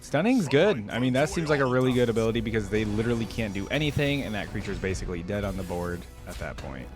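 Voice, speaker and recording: A man's voice: lowish voice, sing-song, fast talker, music in the background. A man hosting a casual reaction video, informal and thinking aloud as he comments.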